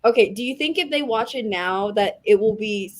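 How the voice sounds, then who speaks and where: A woman's voice: medium pitch, expressive, moderate pace. A woman co-hosting a book readalong, putting questions to the group in casual conversation. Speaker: readalong co-host. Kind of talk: casual discussion, posing questions. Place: online group call.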